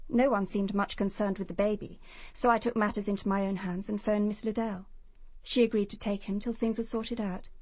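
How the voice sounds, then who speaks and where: An English actress playing a prim, self-assured nurse giving precise testimony in a British radio crime drama. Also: female voice, medium pitch, fairly even intonation, fairly quick, a little mellow, no echo, lightly acted. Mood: brisk, matter-of-fact.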